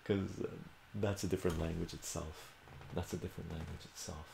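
Deep gravelly older man streaming math chat. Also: steady tone, brisk, reverberant, background noise. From Armenia. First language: Armenian. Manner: rambling, self-correcting, answers chat questions aloud. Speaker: livestream host. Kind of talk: casual livestream chat answering viewers. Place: home room with bookshelves.

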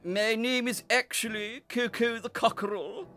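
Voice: mid-range voice, steady tone, unhurried, bright, forceful tone, faint music behind, pitched above the performer's own voice. A narrator voicing a huffy, haughty male weathervane cockerel in a children's story, with a pompous, complaining manner.

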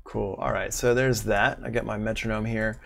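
A man in his thirties giving a casual, unscripted software tutorial as an instructor, thinking aloud as he goes. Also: lowish voice, fairly even intonation, normal speed, creaky, over loud music. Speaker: online music production instructor. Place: small room at home, indoors, livestream.

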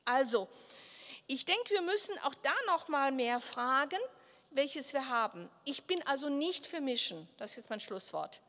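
A woman's voice: high-pitched, expressive, measured pace, fairly bright tone. An older woman, a professor, giving a conference talk at a lectern, gesturing and posing questions aloud to herself. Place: large hall at a political conference. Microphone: lectern microphone. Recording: reverberant hall.

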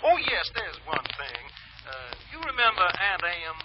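A man's voice: sits high, very animated, measured pace, over music. An actor playing a fugitive man who poses as a folksy Southern bumpkin, drawling sugary endearments in an old radio drama. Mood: folksy, coaxing. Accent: put-on American Southern drawl. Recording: dull, noisy old radio recording.

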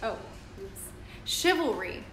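A woman's voice: a little high, very animated, slow. A middle-aged woman on a living-room couch, chatting with enthusiasm in an informal review and steering the conversation. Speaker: co-host leading the review. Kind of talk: informal product review chat. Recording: echoey room with loud background noise.

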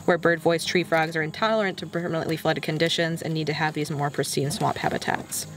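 A woman's voice: deep voice, steady tone, brisk. A woman, explaining and demonstrating as a field researcher for a documentary. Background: music.

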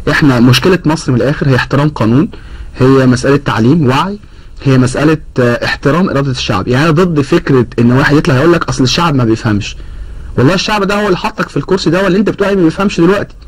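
Rapid-fire Egyptian man arguing a point. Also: fairly high pitch, lively intonation, slightly rough, rough recording. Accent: Egyptian Arabic. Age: 30s. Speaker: guest. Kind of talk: political talk show discussion. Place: TV studio, indoors. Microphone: lapel mic.

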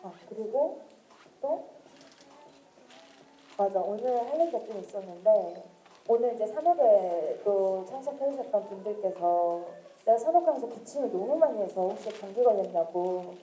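Giggly young woman with a mellow voice. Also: mid-range voice, expressive, moderate pace. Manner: chatty, giggly, storytelling. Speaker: singer talking to fans. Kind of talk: casual chat with fans at a signing event. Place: event hall with fans. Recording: echoey event hall.

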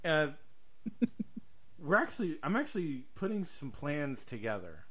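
A man with a bright, fairly high voice chats casually as a podcast host. His delivery swings up and down a lot and is jokey and rambling. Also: big pitch swings, a little slow. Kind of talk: casual promotional chat.

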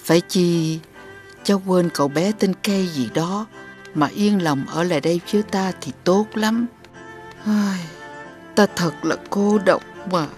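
A woman voicing a kindly, lonely old witch grandmother in an animated fairy tale, gentle and doting. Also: lowish voice, a little slow, soft background music, close to an everyday voice. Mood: wistful.

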